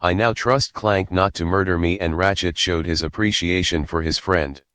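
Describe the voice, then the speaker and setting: A man's voice: low voice, steady tone, moderate pace, a bit bright. A man narrating, reading a story script aloud.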